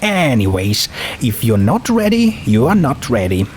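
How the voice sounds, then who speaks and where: A man's voice: mid-range voice, wildly swooping pitch, moderate pace, darkish tone, a little hiss or hum. Man voicing a smug, swooping young princess. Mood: falsely cheerful. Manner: condescending, sweetly menacing, emphatic. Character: smug young female pony princess, cheerfully cruel.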